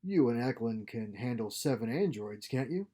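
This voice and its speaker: A man's voice: mid-range voice, animated, moderate pace, dark tone, close to an everyday voice. Man voicing a stern, menacing starship captain. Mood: veiled threat. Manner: clipped, commanding, menacing politeness. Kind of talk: short story read aloud.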